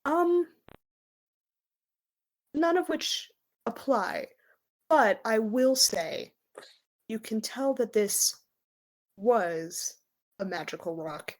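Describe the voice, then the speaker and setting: A woman narrating as game master of a tabletop roleplaying game, improvising descriptions in a measured, conversational way with pauses. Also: a little high, animated.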